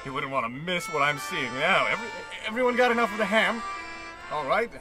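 A man's voice: sits high, brisk, over loud music, lightly acted. A man playing a pompous, blustering rich celebrity in theatrical old-time radio comedy style. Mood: jovial. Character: pompous, excitable rich male celebrity host.

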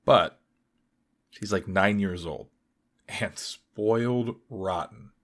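Male actor as weary archer hero, low pitch, wry, deadpan, understated, comic-book narration. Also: slow pace. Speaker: voice actor narrating and playing lead. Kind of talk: motion comic voice acting.

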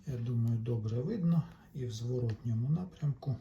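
Man, mellow dark voice, medium pitch, unhurried, casual workbench repair commentary, faint background noise. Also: muffled recording. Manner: unhurried, talks along while working, addresses viewers. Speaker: electronics repair video maker.

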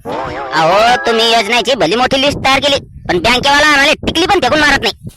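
A man voicing a skeptical, sharp-tongued cartoon village father, sarcastic and folksy, with a rural Maharashtrian accent. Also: extremely high pitch, lively intonation, fairly quick, full character voice. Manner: sarcastic, folksy, repeats words for emphasis. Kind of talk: cartoon comedy voice acting.

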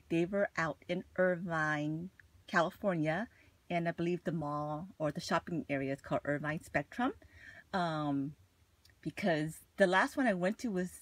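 Low-pitched woman, chatty and gushing. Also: no echo. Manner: chatty, gushing, frequent "like" and "you know". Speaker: vlogger showing a shopping haul. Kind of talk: casual haul vlog chat.

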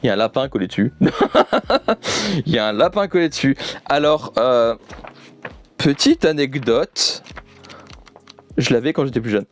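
A man's voice: mid-range voice, animated, unhurried, long pauses, soft background music. A young man chatting off the cuff as an enthusiastic vlogger, often repeating himself. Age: young adult. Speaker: YouTuber presenting a manga unboxing. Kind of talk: casual unscripted vlog chat. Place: indoor room with manga shelves.

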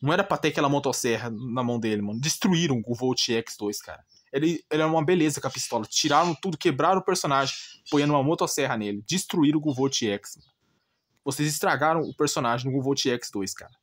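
A man's voice: mid-range voice, fast talker. A man with a Brazilian Portuguese accent gives an informal, blunt rant, exasperated and repeating himself with rhetorical questions. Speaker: gaming channel host.

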